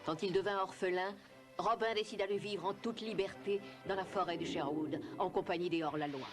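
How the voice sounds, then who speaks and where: A narrator telling a scripted children's story in an animated film. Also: mid-range voice, a little fast. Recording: clear music bed under narration. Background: music.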